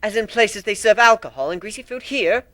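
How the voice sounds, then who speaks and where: A man's voice: high voice, brisk, a bit bright, voice pushed up. A man voicing a naive, curious younger-sister pony princess in a storybook reading. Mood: puzzled, curious.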